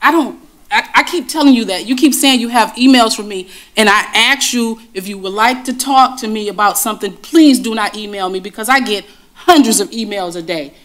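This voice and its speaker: A woman's voice: on the high side, a little fast, a bit bright. A woman on a school board speaking firmly and insistently in a heated meeting, describing herself as having a strong voice.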